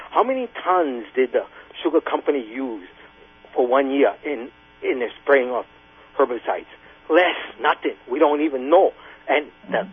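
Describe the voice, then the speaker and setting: A man's voice: fairly high pitch, slightly rough. A man in his late sixties calling in to a radio show, arguing angrily and insistently, with emphatic repetition. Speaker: radio call-in caller, Vietnam-era veteran. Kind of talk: impassioned call-in argument. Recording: tinny, band-limited radio phone line.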